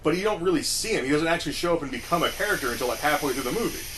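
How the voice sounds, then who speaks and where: A man with a slightly high voice talks casually as a podcast co-host. He explains things like he is telling a story, with faint noise behind him. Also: animated, rapid-fire, a bit bright, rough recording. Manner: explanatory, storytelling, acts out short quotes. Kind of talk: casual movie discussion.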